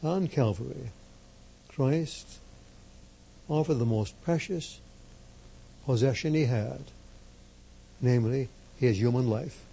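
Slow, gravelly-voiced priest preaching. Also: male voice, medium pitch, warm tone, low-quality recording. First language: Slovak. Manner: emphatic, measured, repeats key phrases. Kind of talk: slow deliberate sermon.

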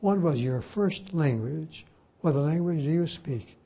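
Older man, priest, first language Slovak, husky voice, slow, casual lecture. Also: on the high side, lively intonation, dark tone. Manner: slow, spells words out letter by letter. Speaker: Jesuit priest and teacher. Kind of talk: informal lecture and Q&A.

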